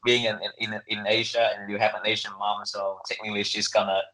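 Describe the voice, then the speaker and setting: A young man from Vietnam with a Vietnamese accent, answering interview questions casually and haltingly, with lots of filler words. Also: on the low side, little pitch movement, bright, forceful tone. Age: young adult. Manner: halting, conversational, many fillers and hedges. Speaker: interview guest, international student. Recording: echoey room sound.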